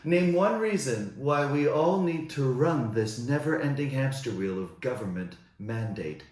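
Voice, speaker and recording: A man's voice: medium pitch, lively intonation, a little slow, darkish tone, reverberant. A man from the United States, delivering an indignant, rhetorical scripted monologue. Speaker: commentator.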